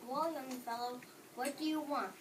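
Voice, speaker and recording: A woman's voice: high-pitched, steady tone, moderate pace, lightly acted. A young woman playing a cross, miserly male baker in amateur readers theater, scolding in a stiff read-aloud way. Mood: impatient. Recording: noisy room, distant microphone.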